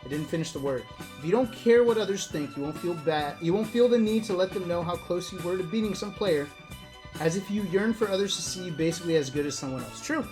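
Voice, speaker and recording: Background music plays under a streamer with a mid-pitched voice reading aloud. The delivery is casual and wry, slipping out of the text into off-the-cuff comments addressed straight to viewers. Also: expressive, fast talker, a little mellow. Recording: music playing behind the voice.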